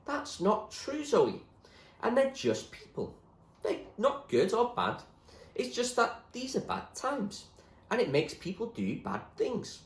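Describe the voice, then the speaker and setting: Man playing an earnest, stubborn dreamer, highly animated. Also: takes it slowly, reverberant, full character voice. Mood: gentle, earnest. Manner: earnest, firm, insistent. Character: stubborn, idealistic, dreamy male friend, earnest and odd. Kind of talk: acted storybook dialogue.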